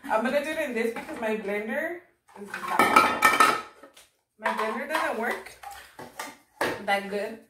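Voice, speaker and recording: A woman's voice: a little high, unhurried, pauses often, heavy background noise. A young woman with a Mexican American accent, chatting and giggling while she makes drinks for her vlog, slipping into Spanish now and then. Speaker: vlog host. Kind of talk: casual cooking chat. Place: home kitchen, indoors. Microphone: camera across the room. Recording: echoey kitchen, from far off.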